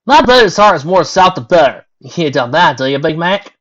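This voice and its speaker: A woman's voice: sits low, sing-song, normal speed, pitched above the performer's own voice. A woman voicing a forthright, teasing, down-to-earth female farm pony in a story reading. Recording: dull lo-fi recording.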